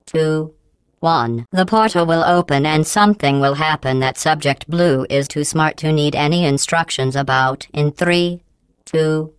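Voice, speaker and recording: A woman playing a coldly menacing, sarcastic female artificial intelligence in a video game, deadpan and falsely polite, with robotic processing on the voice. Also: sits low, clean tone, no room sound. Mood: falsely polite, condescending. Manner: deadpan, passive-aggressive, falsely polite, measured enunciation. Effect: robotic synthesized voice processing. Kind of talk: scripted video game voice acting.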